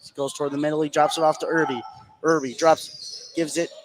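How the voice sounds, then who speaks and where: Male play-by-play announcer, slightly high voice, clipped calls that lift with the action, noisy gym behind him. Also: fairly quick. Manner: excitable on big plays, short clipped phrases. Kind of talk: live basketball play-by-play commentary. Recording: clear gym noise behind the voice. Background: basketball game ambience, bouncing balls.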